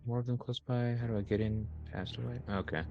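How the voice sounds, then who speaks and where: A man's voice: medium pitch, fairly even intonation, a little fast, creaky, dull audio, music in the background. A man playing a tabletop game, chatting casually in an inquisitive, questioning manner.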